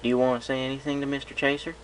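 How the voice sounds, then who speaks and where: A man's voice: medium pitch, flat delivery, rapid-fire, voice pushed up, natural voice. A man voicing a protective big-sister pony, a direct, folksy country mare, reading a story. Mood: firm scolding. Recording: noisy home recording.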